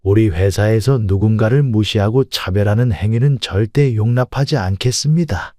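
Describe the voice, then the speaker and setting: A man's voice: lowish voice, moderate pace, dark tone, no echo, clean studio sound, natural voice. A man playing a firm, principled company president, speaking formally and with authority in audiobook story dialogue.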